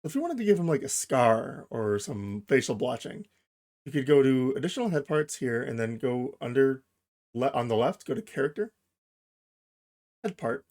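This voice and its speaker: A man hosting a software tutorial, explaining each step informally and thinking aloud as he goes. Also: mid-range voice, big pitch swings, normal speed. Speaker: tutorial host. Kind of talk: casual software tutorial narration.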